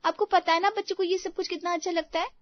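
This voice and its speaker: Brief: actress as spoiled married daughter, high voice, whiny and pushy, storybook cartoon style, dry muffled recording. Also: female voice, fairly even intonation, fast talker, no room sound. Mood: demanding, indignant. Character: entitled, demanding married daughter, wheedling and boastful. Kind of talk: animated moral story voice acting.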